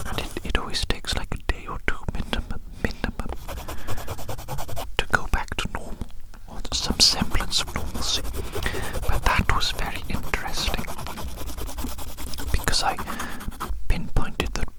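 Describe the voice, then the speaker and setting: A person whispering breathily and very close to the mic in an ASMR style, rambling gently and repeating phrases. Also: moderate pace, long pauses. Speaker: ASMR video creator. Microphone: very close to the microphone.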